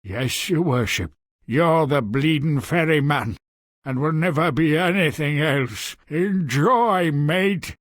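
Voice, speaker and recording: A man playing a surly, foul-mouthed old brute with a rasping, gravelly voice in a video game. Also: on the high side, slow, dry recording, clean studio sound. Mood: sour, sardonic. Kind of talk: theatrical video game cartoon acting.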